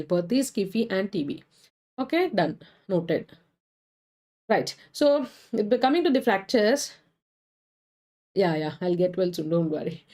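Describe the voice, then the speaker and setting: A woman with an Indian English accent, suffering from a cold, teaching a live online medical exam class, answering viewers' comments between points briskly. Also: mid-range voice, dark tone. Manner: brisk, reads chat names and answers viewers. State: has a cold.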